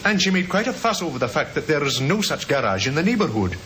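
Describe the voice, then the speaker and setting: An actor playing a diligent police sergeant in an old-time radio drama, deferential and politely hesitant. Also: male voice, a little high, very animated, fast, fairly bright tone. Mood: earnest, reporting. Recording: noisy vintage radio drama recording.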